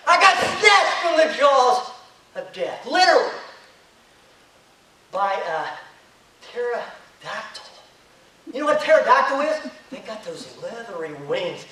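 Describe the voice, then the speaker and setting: Animated man telling a tall tale. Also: very high-pitched. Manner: animated storyteller, acting out the action. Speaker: contest speaker. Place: hotel conference room. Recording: echoey room, recorded from far off.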